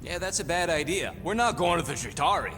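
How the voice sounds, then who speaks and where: An actor voicing a small, cynical, wisecracking raccoon-like mercenary in a space video game. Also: male voice, a little high, lively intonation, brisk, noisy background, lightly acted. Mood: sardonic, grim. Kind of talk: cinematic video game acting.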